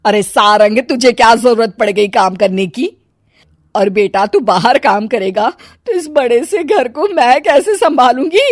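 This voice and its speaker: A woman playing a domineering, scheming stepmother-in-law in a melodramatic story video, with a shrill, haughty delivery. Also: expressive, measured pace, dry recording, clean studio sound. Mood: complaining. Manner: shrill, haughty, stammers when frightened.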